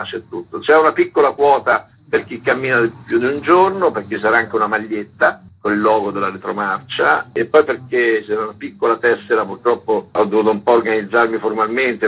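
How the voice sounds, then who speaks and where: A man's voice: lowish voice, husky, muffled recording. A man explaining things informally as an interviewed organiser, in a rambling, colloquial way. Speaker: event organiser being interviewed.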